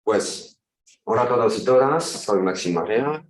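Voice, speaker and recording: A man in his thirties from France, speaking with a French accent as a guest lecturer, pausing and correcting himself often as he gives an academic talk in a language that is not his first. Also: medium pitch, lively intonation, reverberant, far from the mic, low-quality recording. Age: 30s. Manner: halting, frequent self-corrections.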